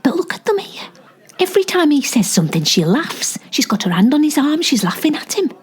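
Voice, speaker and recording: A woman's voice: sits high, sing-song, brisk. A woman playing a jealous, suspicious, agitated gossip in a comedy audio drama.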